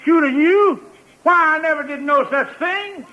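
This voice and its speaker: A high, thin-pitched old ranch hand from a vintage radio western. He has a folksy drawl and the puzzled, protesting manner of someone hard of hearing. It sounds like an old 1950s broadcast. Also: measured pace, sharp tone, highs cut off, full character voice. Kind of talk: 1950s radio drama acting.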